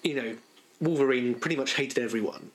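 A man's voice: medium pitch, fairly quick, poor audio. A man co-hosting a casual podcast, giving firm opinions and explaining things at length. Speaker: podcast co-host. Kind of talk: casual chat about cartoons and comics.

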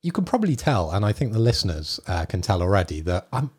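A man co-hosting a podcast has a low, husky, raspy voice because he is still getting over an illness. He chats in an easygoing, friendly way. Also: very animated, fast talker, a little mellow. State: recovering from illness. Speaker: podcast co-host. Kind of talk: casual podcast banter.

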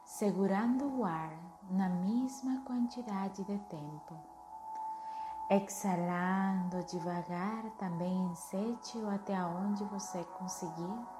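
A woman leading a guided meditation in a soothing, unhurried manner, gently giving instructions. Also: mid-range voice. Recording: echoey, with music underneath. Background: music.

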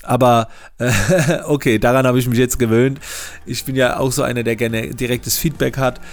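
A man's voice: medium pitch, little pitch movement, moderate pace. A man with a regional German dialect hosting a solo podcast, talking casually with many hesitations and repeated words. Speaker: podcast host.

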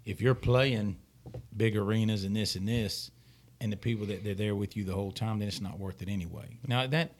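A man's voice: lowish voice, brisk, a little hiss or hum. A man co-hosting a podcast, talking things through in a reflective, reasoning way. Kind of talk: casual podcast conversation.

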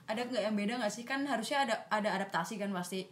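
A woman's voice: mid-range voice, very fast, echoey room. A young woman from Magelang hosting a casual podcast chat, encouraging and asking the others questions. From Magelang, Central Java. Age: young adult. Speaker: podcast host, university student.

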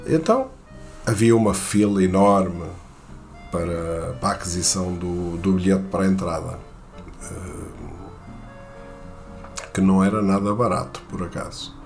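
A man from Portugal with a European Portuguese accent, telling a personal anecdote in a halting way, with many fillers and restarts. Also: low-pitched, a little mellow.

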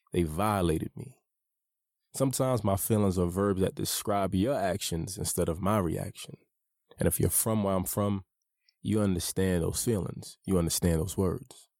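A man narrating his own memoir as an audiobook, in a measured, reflective and earnest way. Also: low voice, mellow, no room sound, studio quality.

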